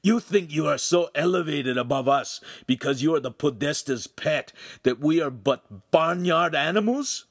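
A man voicing a jealous, boastful young suitor in a story, loud and indignant, spitting out his phrases. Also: mid-range voice, measured pace, husky, dry recording, studio quality, pitched above the performer's own voice. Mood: enraged.

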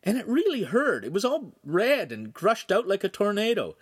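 A man voicing a stubborn, hot-headed young boy in an audiobook, with a whining, high-strung delivery. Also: lively intonation, fast talker, a bit bright, breathy edge, full character voice.

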